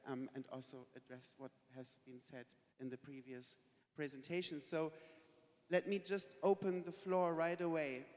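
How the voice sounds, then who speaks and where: A man moderating a discussion panel, speaking loudly in halting phrases that he often restarts. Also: a little high. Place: conference hall. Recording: reverberant conference hall.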